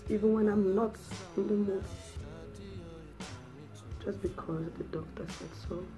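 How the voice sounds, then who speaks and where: A woman with a Nigerian accent playing a betrayed, heartbroken wife in a dramatic monologue, speaking deliberately with heavy pauses. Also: medium pitch, natural voice. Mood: wounded, weary. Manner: deliberate, heavy pauses, swinging from hushed to anguished. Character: betrayed, heartbroken wife confronting her deceitful bigamist husband. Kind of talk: dramatic solo acting monologue.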